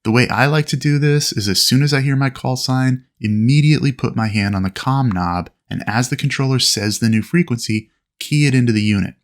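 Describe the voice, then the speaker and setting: A man's voice: mid-range voice, a little fast, few pauses. A man narrating a flight lesson as an instructor, explaining procedures methodically in an instructional style. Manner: clear, methodical explaining with aviation radio phraseology.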